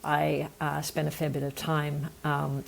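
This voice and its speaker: An Australian woman with a low voice and a gravelly edge speaks as an interview guest. She tells her story in an earnest, thoughtful way, with a faint hum of room noise behind her. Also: completely flat delivery, a little slow. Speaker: nonprofit founder, podcast guest. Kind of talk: conversational interview answer.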